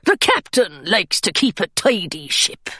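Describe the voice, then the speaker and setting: A woman voicing a grinning male pirate mate who talks gruffly and bluntly. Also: medium pitch, wildly swooping pitch, a little slow, fairly bright tone, no echo, studio quality, voice pushed down, exaggerated voice.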